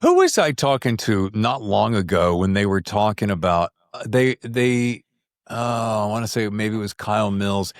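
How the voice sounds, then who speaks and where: A man's voice: lowish voice, lively intonation, normal speed, dry recording, clean studio sound. A man hosting a casual interview podcast, chatty, with rambling lead-ins to his questions. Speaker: podcast host interviewing an author.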